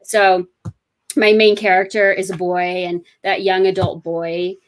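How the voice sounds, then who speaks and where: A woman's voice: lowish voice, flat delivery, measured pace, poor audio. A woman author being interviewed, telling her story in a chatty, rambling way full of fillers. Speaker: author guest. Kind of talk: casual interview chat.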